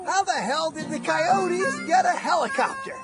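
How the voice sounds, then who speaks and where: A woman's voice: very high voice, expressive, moderate pace, over loud music. A woman playing a sardonic, bickering, bookish talking vulture in a radio horror drama. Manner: sardonic, griping.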